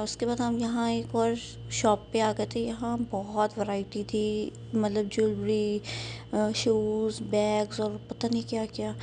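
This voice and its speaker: Young woman, casual vlog narrator, even and flat delivery, faint hum. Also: fairly high pitch. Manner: chatty, unhurried, with frequent "uh" fillers. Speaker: vlogger.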